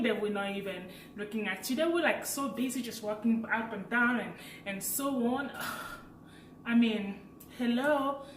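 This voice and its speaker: A woman in her late twenties from Africa, with a French accent, chatting casually as a vlogger and telling a personal story with lively, laughing energy. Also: mid-range voice, echoey room, far from the mic, slight background noise, rough recording. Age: late 20s. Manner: chatty and animated, laughs while telling stories.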